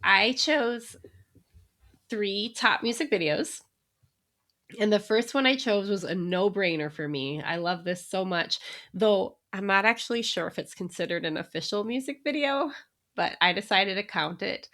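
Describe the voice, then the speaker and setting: A woman co-hosting a casual podcast, at an average pitch for a woman. She talks in an easygoing, chatty way and laughs often between remarks. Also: a little slow, fairly bright tone. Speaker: podcast co-host. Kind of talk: casual chat.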